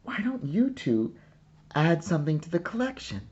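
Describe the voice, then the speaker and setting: A man's voice: a little high, sing-song, normal speed, darkish tone, husky, dull audio, pitched above the performer's own voice. A man reading a storybook as a proud, eager little girl who earnestly and carefully plays museum guide.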